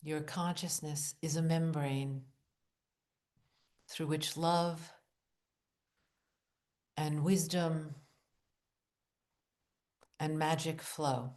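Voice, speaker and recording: Slow, low-voiced woman guiding meditation. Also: fairly even intonation, a little mellow. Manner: slow, deliberate, short phrases with long pauses. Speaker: guided meditation leader. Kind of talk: guided visualization, meditative narration.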